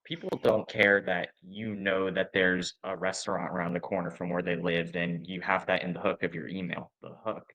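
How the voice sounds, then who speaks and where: A man hosting a podcast interview, summing up ideas in a measured, explanatory way. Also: deep voice, little pitch movement, pushed and bright, rough recording. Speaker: podcast host.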